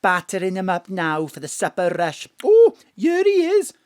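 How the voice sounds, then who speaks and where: A man's voice: sits high, huge pitch swings, moderate pace, a little mellow, no echo, studio quality. A man voicing a chatty, doting older mother who rambles in a sing-song way, in a radio play. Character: chatty older Welsh mam, fond and gossipy. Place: home studio.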